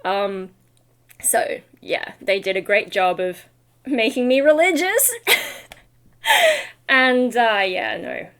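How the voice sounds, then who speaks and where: A woman's voice: on the high side, very animated, slow, pauses often, a bit bright. A tired-sounding woman chatting in a casual, rambling vlog style, scattered and self-deprecating. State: tired, not fully awake. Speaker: vlogger and metal vocalist.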